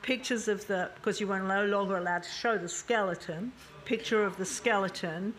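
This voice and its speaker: A woman speaking as a panel guest, frank and thoughtful, pausing often with fillers and restarts. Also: mid-range voice, a bit bright, a little hiss or hum, low-quality recording. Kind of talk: panel discussion.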